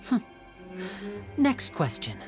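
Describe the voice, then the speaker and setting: A woman playing a shrewd young male magician in a video game, questioning in a composed, deliberate, probing way. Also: over music, lightly acted. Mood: probing, dry. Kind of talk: dramatic video game voice acting.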